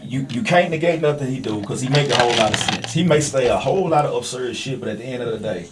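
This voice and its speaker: A man's voice: medium pitch, expressive, quick pace, heavy background noise. A man in a casual, slangy podcast chat, agreeing and repeating himself. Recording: voice distant from the microphone.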